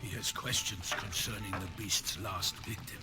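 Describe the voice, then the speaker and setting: A man's voice: on the low side, steady tone, moderate pace, natural voice. A man playing a proud, theatrical knight errant in a fantasy video game, speaking in flowery, formal, archaic phrases. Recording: music playing behind.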